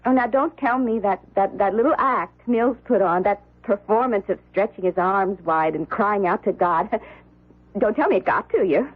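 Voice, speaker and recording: Woman playing a petulant, husky-voiced rich wife. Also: mid-range voice, moderate pace, close to an everyday voice. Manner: theatrical, nagging, pleading. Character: pampered, petulant rich daughter and wife, craving fun. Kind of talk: 1970s radio drama acting. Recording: muffled lo-fi old radio broadcast.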